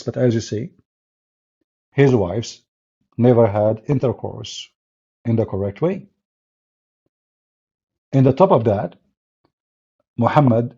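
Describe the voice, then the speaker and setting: Husky-voiced man hosting a livestream. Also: mid-range voice, mellow. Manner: mocking, sarcastic, non-native English phrasing. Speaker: livestream host. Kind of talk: livestream talk with callers. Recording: dull lo-fi stream audio.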